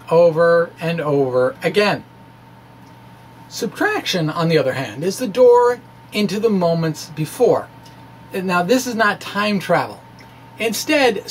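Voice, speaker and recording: A middle-aged man giving a dramatic reading of a science blog, with deliberate, emphatic phrasing and informal asides. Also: high-pitched, slight background noise. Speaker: presenter, science blogger.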